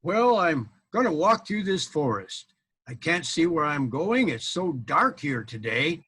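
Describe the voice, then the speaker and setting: A man's voice: a little high, big pitch swings, a little slow, a bit bright, slightly rough, exaggerated voice. A man voicing a bumbling, apologetic knight in a children's story, hesitant and sheepish. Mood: uncertain. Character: bumbling, apologetic knight lost in a dark forest.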